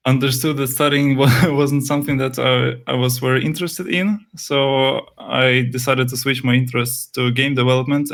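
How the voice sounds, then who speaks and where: A man's voice: mid-range voice, vocal fry, fairly bright tone. A young man whose first language is Russian, a game developer answering interview questions hesitantly, with many fillers and restarts.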